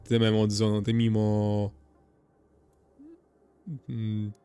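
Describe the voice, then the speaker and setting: A man giving casual gameplay commentary, reacting in a halting, rambling, informal way. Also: fairly low pitch, completely flat delivery, dark tone, clear, resonant tone, faint music behind. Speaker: gamer playing and reacting.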